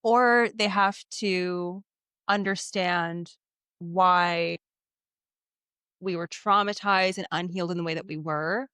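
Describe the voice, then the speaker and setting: A woman in her late twenties hosting a podcast, asking questions in a relaxed way with lots of filler words. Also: on the low side, slow, smooth, no room sound, clean studio sound. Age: 20s. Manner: relaxed, uses many fillers, supportive. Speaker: podcast host. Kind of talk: casual interview chat.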